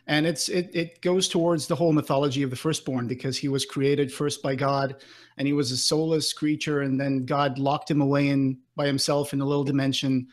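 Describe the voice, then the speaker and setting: A man's voice: mid-range voice, little pitch movement, brisk. A man in his thirties co-hosting a podcast interview, chatting enthusiastically into a desk microphone at home. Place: room at home, indoors. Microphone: desk microphone with pop filter, close.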